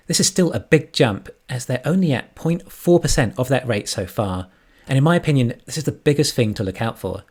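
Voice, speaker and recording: A man's voice: medium pitch, darkish tone. A man giving scripted financial commentary as an online analyst and narrator. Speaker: YouTube stock analyst.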